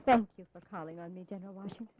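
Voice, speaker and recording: A woman's voice: fairly low pitch, rapid-fire, darkish tone, close to an everyday voice. Actress as spirited patriotic colonial lady, gracious, earnest, formal speech, history audio drama, slightly dull lo-fi sound.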